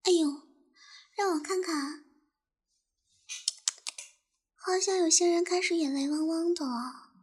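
A woman's voice: extremely high pitch, moderate pace. A young woman voicing a cruel, taunting tormentor in an intimate audio roleplay, teasing and mocking, often sinking into a whisper. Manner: laughs softly between taunts.

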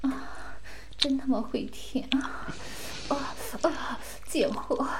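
A woman playing a domineering, scornful mistress who gives commands and taunts, sometimes dropping to breathy whispers. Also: high voice, big pitch swings, slow, noisy background, full character voice. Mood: commanding. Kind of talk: roleplay audio drama.

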